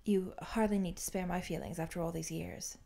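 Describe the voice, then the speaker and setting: A woman's voice: lowish voice, steady tone, a little fast, vocal fry, mellow. A woman voicing a guarded, world-weary man with a dry, detached manner in an audiobook.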